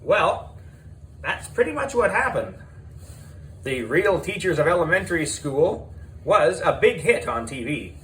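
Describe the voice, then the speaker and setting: A man reads a children's story as its schoolboy narrator, with a fairly high, very lively voice. He takes his time with dramatic pauses, and faint noise sits behind him. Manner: animated, deliberate, dramatic pauses. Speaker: audiobook narrator.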